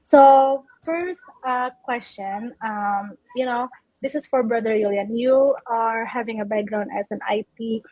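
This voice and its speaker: A woman with a fairly high voice hosts a live online call, nervous and chatty, with plenty of filler words. The sound is dull and lo-fi, like a video call, and very dry. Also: unhurried, dry recording. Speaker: host.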